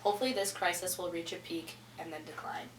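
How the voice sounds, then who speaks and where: A woman's voice: lowish voice, fairly even intonation, fast talker, slight background noise, natural voice. Woman as echoey 1920s news anchor. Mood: matter-of-fact. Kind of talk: scripted amateur mock newscast. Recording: roomy distant mic, faint music.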